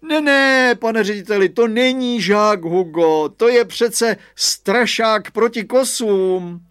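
A man's voice: high voice, clean tone, no echo, clean studio sound, voice pushed up. A man voicing a stern but kindly gardener father who scolds and calls out in a storybook tale. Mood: loud, insistent. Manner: menacing scolding, loud calling.

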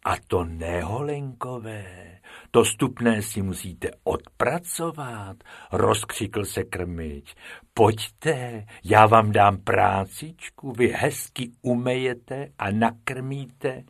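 A man's voice: lowish voice, lively intonation, dry recording, studio quality. A man doing a mocking, boisterous zookeeper. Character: gruff mocking male zookeeper.

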